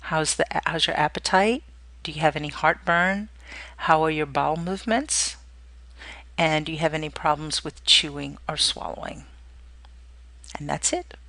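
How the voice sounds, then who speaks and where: A woman's voice: deep voice, moderate pace, long pauses, pushed and bright, no room sound. A woman giving an instructional clinical lecture, explaining step by step and often checking in with "okay". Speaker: nursing or clinical skills instructor.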